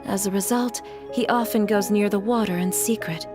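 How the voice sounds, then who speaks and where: A woman playing a gentle, genteel mother and employer in a video game, speaking politely and in measured phrases over music. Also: mid-range voice, steady tone, lightly acted. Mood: wistful, explanatory. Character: refined, gentle, courteous mother and wealthy employer.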